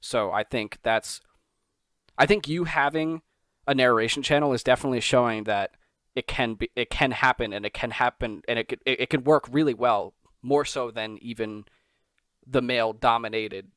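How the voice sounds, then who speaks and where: A man's voice: medium pitch, normal speed, a bit bright, no room sound, clean studio sound. A man hosting a podcast interview, talking in long, rambling, enthusiastic stretches. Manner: rambling, enthusiastic, repeats himself. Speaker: podcast host.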